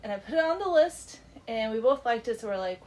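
A woman's voice: a little high, animated, a little fast, darkish tone, slight background noise. A middle-aged woman with a congested voice, hosting a chatty book club podcast from her home basement and laughing easily. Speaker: podcast host. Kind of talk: casual book club chat.

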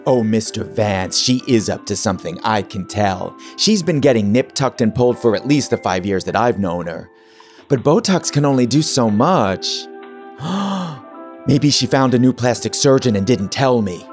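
A man's voice: mid-range voice, expressive, normal speed, soft background music, lightly acted. Actor as a catty, gossipy office man. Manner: gossipy, theatrical gasps. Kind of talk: campy audio drama acting.

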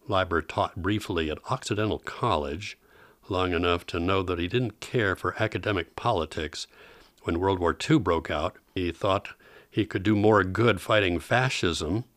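A man's voice: lowish voice, fairly bright tone, no room sound. A man hosting a radio program with scripted narration, on a close studio mic.

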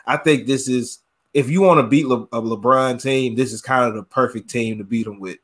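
Steady man breaking down a game. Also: mid-range voice, normal speed. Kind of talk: casual sports analysis.

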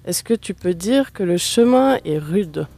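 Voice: medium pitch, lively intonation, measured pace, creaky, mellow, faint music behind. A radio host, asking long, chatty questions of a guest. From Switzerland. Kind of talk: radio interview.

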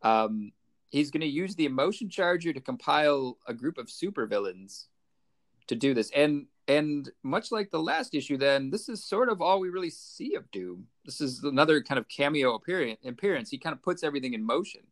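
A man's voice: fairly high pitch, sing-song, brisk. A man co-hosting a podcast, chatting casually and conversationally in a comic book review style. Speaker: podcast co-host.

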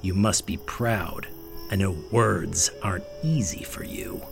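A haughty male villain for radio drama. He taunts with sardonic condescension in a slow, creaking voice over a faint music bed. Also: sits low, heavily acted. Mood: mocking. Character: captive monster hunter, haughty, contemptuous villain.

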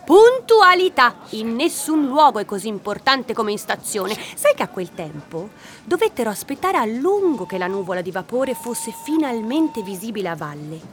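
High, animated, scatterbrained woman guide. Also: normal speed, hardly any pauses, slight background noise. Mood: enthusiastic storytelling. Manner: chatty, theatrical, digressive. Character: lively, scatterbrained woman guide who improvises off script. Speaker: tour guide narrator. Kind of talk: hiking audio drama, chatty dialogue and narration.

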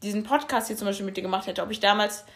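A woman's voice: mid-range voice, rapid-fire, a bit bright. A young woman co-hosting a podcast, talking in a thoughtful, searching way with many fillers. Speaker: podcast co-host. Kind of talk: casual chat.

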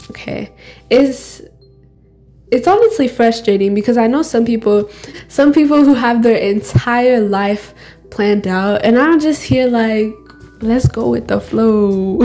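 A woman's voice: on the high side, mellow. A teenage girl co-hosting a podcast, talking candidly and rambling, often laughing at herself. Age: teens. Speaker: podcast co-host. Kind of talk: casual solo chat.

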